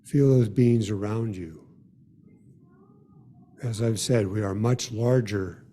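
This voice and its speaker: A man leading a worship service in an informal, unhurried, gently guiding way. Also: fairly low pitch. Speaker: worship leader.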